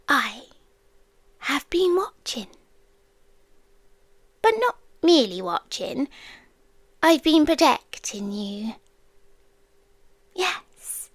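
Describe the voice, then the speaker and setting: Casting: British actress as ancient female yandere creature for ASMR roleplay; high, soft, hushed, tenderly menacing, very close and dry. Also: no echo, full character voice. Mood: protective, hushed. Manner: deliberate, teasing, hushed, with drawn-out pauses. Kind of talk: slow intimate ASMR roleplay, often dropping to whispers. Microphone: close microphone, dry.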